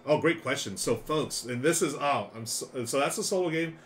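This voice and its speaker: A man's voice: medium pitch, moderate pace. A middle-aged man hosting a board game live stream, chatting casually and enthusiastically as he explains games. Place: small room lined with board games. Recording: clear steady background noise.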